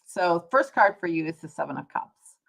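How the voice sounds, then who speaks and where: A woman's voice: lowish voice, lively intonation, normal speed, creaky. A woman hosting a casual live tarot reading, talking to viewers in a conversational, reflective way and rephrasing as she explains. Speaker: tarot reader, livestream host.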